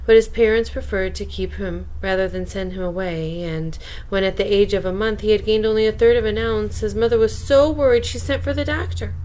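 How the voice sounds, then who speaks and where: A woman with a fairly high voice reads a children's story for bedtime. She is expressive and speaks directly to young listeners, mixing narration with chatty little asides. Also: a little hiss or hum, rough recording. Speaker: storyteller reading a children's book aloud.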